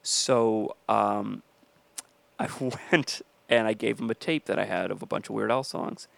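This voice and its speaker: A man's voice: fairly low pitch, steady tone, a little slow, vocal fry, dry recording. A man co-hosting a podcast with his wife, rambling through funny stories in a slightly nasal voice and breaking into silly voices. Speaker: podcast co-host. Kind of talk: casual comedic chat.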